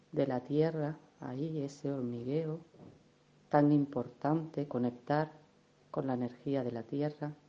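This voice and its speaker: A woman leading a guided meditation in a gentle, unhurried, soothing manner, with affectionate little diminutives. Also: deep voice, muffled recording. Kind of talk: guided meditation, soft instruction.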